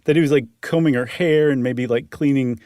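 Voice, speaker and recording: A man's voice: mid-range voice, brisk, a little mellow, no room sound, studio quality. A man reading a story aloud and commenting on it in casual talk. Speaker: co-host reading horror stories aloud.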